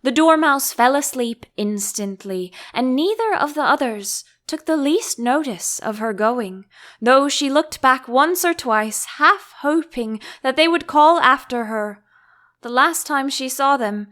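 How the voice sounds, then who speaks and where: A woman's voice: high voice, expressive, measured pace, a bit bright. A woman reading a storybook aloud as an audiobook narrator.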